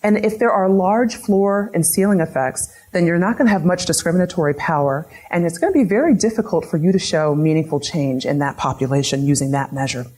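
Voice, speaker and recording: Low, husky-voiced woman giving a lecture. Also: fairly quick, hardly any pauses, darkish tone. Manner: methodical, poses series of questions to audience. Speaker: lecturer on patient-reported outcomes. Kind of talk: academic lecture. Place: lecture room.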